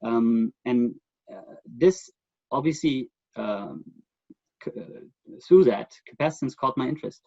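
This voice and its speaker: A middle-aged man with a German accent, speaking as the guest expert in a remote interview in a thoughtful, halting way with many fillers. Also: medium pitch, lively intonation, dark tone, no echo, muffled recording.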